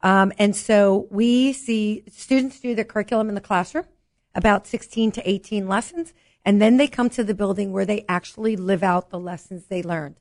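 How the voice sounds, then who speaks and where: A woman's voice: mid-range voice, moderate pace. A woman from Brooklyn with a New York accent, a guest on a talk show, explaining her nonprofit in an upbeat way and often restarting her sentences. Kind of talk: casual chat.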